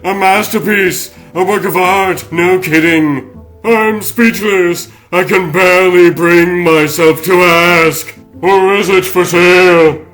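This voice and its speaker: A man's voice: high voice, fairly even intonation, slow, fairly bright tone, faint music behind, poor audio. A man voicing a gushing, wisecracking crab real-estate huckster villain in a comic dub. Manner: effusive, wisecracking. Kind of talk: theatrical comic book dub acting.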